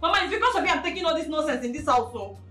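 An actress playing a brash, threatening pregnant young wife in a melodrama, ranting and stammering loudly. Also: female voice, high-pitched, expressive, rapid-fire, faint music behind. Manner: loud, defiant, dramatic, stammering rants. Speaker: actor. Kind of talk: melodrama acting.

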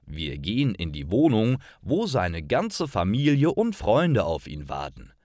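A man reading a scripted story for language learners, with clear and careful, expressive enunciation. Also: lowish voice, a little slow, dry recording, studio quality. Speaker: narrator of stories for language learners.

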